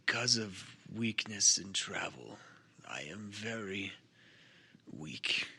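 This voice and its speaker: Breathy, frail old lizardfolk elder. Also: male voice, fairly low pitch, expressive, pushed and bright, heavily acted. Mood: weak, solemn. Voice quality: breathy, whispery rasp. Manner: halting, laboured, solemn delivery. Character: weak old male lizardfolk elder, grave and reverent.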